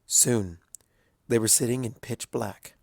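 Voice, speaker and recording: A man reading a dramatic story as narrator in a solemn, hushed, deliberate way. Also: medium pitch, creaky, breathy edge, no room sound, studio quality. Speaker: fan fiction narrator.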